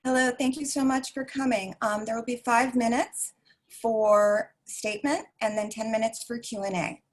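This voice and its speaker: A woman's voice: fairly high pitch, steady tone, normal speed. A woman acting as forum moderator, giving polite procedural announcements.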